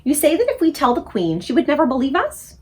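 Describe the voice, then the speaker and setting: A woman voicing a determined little orphan girl who speaks high, urgent and insistent. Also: wildly swooping pitch, fast talker, a little mellow. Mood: excited. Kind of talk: audiobook character reading.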